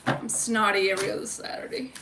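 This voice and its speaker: Female tarot livestreamer, informal and chatty, sharp bright tone, echoey room with faint noise. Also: mid-range voice, steady tone, quick pace, creaky. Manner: informal, chatty, addresses viewers by name, mild swearing. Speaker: tarot card reader and livestream host. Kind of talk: casual livestream chat with spiritual tarot readings. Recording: roomy, distant mic with faint noise.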